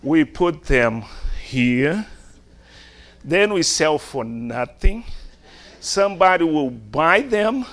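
A man's voice: a little high, big pitch swings, slow pace, fairly bright tone. A middle-aged man from Brazil, a native Portuguese speaker with a Brazilian accent, preaching emphatically in short phrases and rhetorical questions. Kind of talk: sermon.

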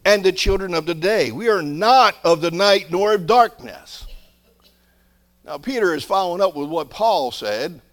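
A man in his sixties preaching a sermon, swelling to emphatic peaks and dropping to quiet asides, often repeating words as he builds a point. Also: high-pitched, expressive, a little slow. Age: 60s. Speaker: pastor.

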